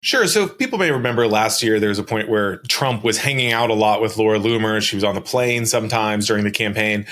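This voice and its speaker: A man's voice: on the low side, a little fast, hardly any pauses, fairly bright tone. An American man working as a reporter, explaining a story informally in a panel chat.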